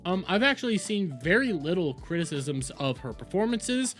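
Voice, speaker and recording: Guy doing opinionated stream commentary, really animated pitch, repeats himself for emphasis, faint music behind him. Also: normal speed. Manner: emphatic, ranting, repeats phrases. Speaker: host of a reaction livestream.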